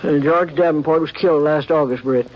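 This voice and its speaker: Actor as an easygoing old frontier doc, high folksy drawl, chuckles; dull lo-fi old radio sound. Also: male voice, brisk, lightly acted. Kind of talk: 1950s radio drama acting.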